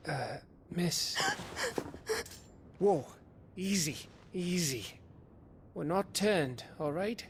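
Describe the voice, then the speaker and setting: An actor playing a rough, foul-mouthed, wary fantasy adventurer in a video game cutscene, with an expressive, colloquial delivery. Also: male voice, on the high side, drawn-out words, long pauses, slight background noise. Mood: wary, soothing.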